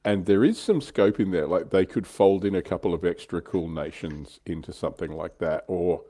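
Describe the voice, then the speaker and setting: A man's voice: low-pitched, normal speed, mellow. A man co-hosting a casual livestream chat, thinking aloud and explaining his speculations. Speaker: livestream co-host on tabletop wargaming show.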